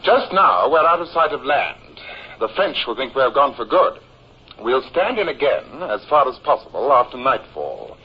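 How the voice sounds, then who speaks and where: An actor playing an orderly first lieutenant in a 1950s radio drama, with a clipped, methodical way of briefing. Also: male voice, medium pitch, very animated, normal speed, slightly rough. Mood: brisk, businesslike. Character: brisk, orderly first lieutenant of a navy frigate. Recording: muffled old radio recording.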